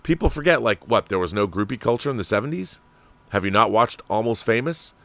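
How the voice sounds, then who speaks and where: A man with an American accent and a fairly low voice hosts a livestream. He comments in a casual, discursive way, sharing opinions as if thinking aloud to his viewers. The sound is very dry, with no room reflections. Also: lively intonation, brisk, fairly bright tone, no echo. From Washington state, United States. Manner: conversational and discursive, with rhetorical questions. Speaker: livestream host.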